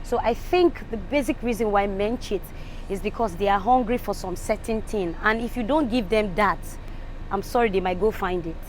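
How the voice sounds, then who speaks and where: A woman's voice: medium pitch, normal speed, slight background noise, low-quality recording. A woman giving a casual opinion. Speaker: interview respondent.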